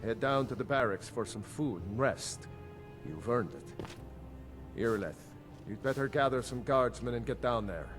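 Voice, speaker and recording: An actor playing a middle-aged fantasy jarl in a video game, speaking in a measured, formal, authoritative way. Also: male voice, mid-range voice, lively intonation, over music, lightly acted. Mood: gracious, firm. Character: commanding middle-aged male fantasy jarl, grave and gracious.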